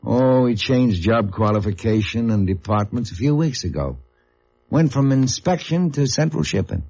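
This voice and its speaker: Actor as businesslike American union agent. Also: male voice, medium pitch, lively intonation, normal speed, a little mellow, close to an everyday voice. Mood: matter-of-fact. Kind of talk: 1950s radio drama acting. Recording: muffled old radio recording.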